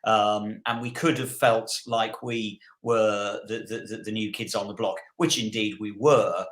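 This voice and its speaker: A man's voice: fairly low pitch, fairly even intonation, measured pace, fairly bright tone. A man chatting casually as a podcast co-host, rambling and repeating words for emphasis. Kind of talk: casual chat. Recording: roomy, echoey sound.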